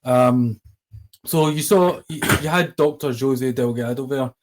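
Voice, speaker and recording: A man's voice: mid-range voice, measured pace, darkish tone, a little hiss or hum. A man hosting a casual podcast conversation, hesitant with many ums and restarts. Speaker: podcast host.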